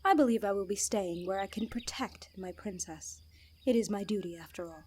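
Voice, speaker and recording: A woman's voice: medium pitch, normal speed, darkish tone, a little hiss or hum, close to an everyday voice. A woman playing a devoted, protective royal knight, speaking formally and earnestly in an ASMR fantasy audio roleplay. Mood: guarded, dutiful.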